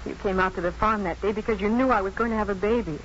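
An actress playing an anxious, wry young expectant wife in 1950s radio drama, with a halting, self-mocking way of talking. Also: female voice, mid-range voice, lightly acted. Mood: bitter, sad. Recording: dull, faintly noisy 1950s radio broadcast.

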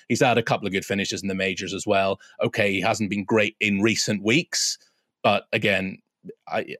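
An emphatic man talks bluntly on a podcast, his voice sitting on the low side. The sound is dry and close, with the room adding little. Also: a bit bright, dry recording. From Europe. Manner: emphatic and blunt, with casual swearing. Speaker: podcast co-host. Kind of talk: casual sports roundtable chat.

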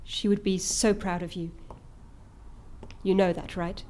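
An actress playing a brisk, loyal female accomplice with her mind on the job in an audio drama. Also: mid-range voice, level tone, darkish tone, a little hiss or hum, close to an everyday voice. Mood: tender. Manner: brisk, preoccupied.

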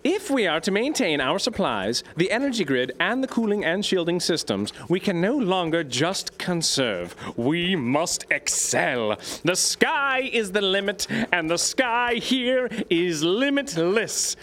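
A man's voice: high voice, big pitch swings, unhurried, fairly bright tone, full character voice. An actor playing a zealous, theatrical scientist in an audio drama, declaiming his journal grandly. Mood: grandly determined.

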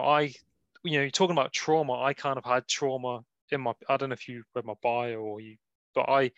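A man's voice: mid-range voice, a bit bright, no echo. A man from the English Midlands with an English accent tinged with Liverpool, hosting a podcast in a casual, rambling, hesitant way. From Nottingham, English Midlands. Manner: rambling, hesitant phrasing with repeated filler phrases. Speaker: podcast host. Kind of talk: casual conversational interviewing. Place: online video call.

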